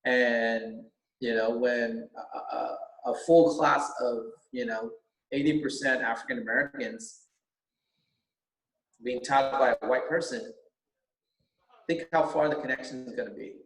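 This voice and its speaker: A middle-aged man whose first language is Vietnamese, a teacher running for school board, speaking earnestly with many halting restarts. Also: medium pitch, animated, a bit bright. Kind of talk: earnest interview talk. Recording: roomy echo.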